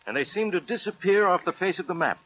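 An actor playing a police superintendent, narrating a case formally and dramatically on a 1940s radio show. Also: male voice, high-pitched, animated, quick pace, sharp tone, full character voice, low-quality recording. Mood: grave, formal.

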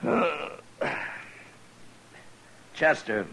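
An actor playing a weary, dry, terse US marshal in a 1950s radio western. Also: male voice, a little high, sing-song, very slow, pushed and bright, heavily acted, rough recording. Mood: impatient, dry. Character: weary, dry, world-worn US marshal of frontier Dodge City. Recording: old radio recording with background noise.

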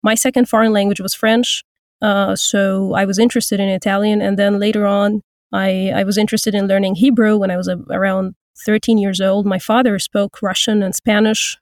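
A woman in her thirties from Egypt, a voice actor and language teacher, speaking thoughtfully with frequent pauses and restarts in an interview. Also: mid-range voice, level tone, dry recording, studio quality. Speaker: guest, voice actor and Turkish teacher. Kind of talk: casual interview.

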